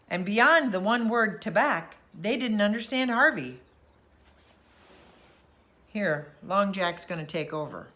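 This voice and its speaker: A woman reads a storybook aloud for children at bedtime. Her voice is bright and forward, with very animated pitch. She tells the tale expressively.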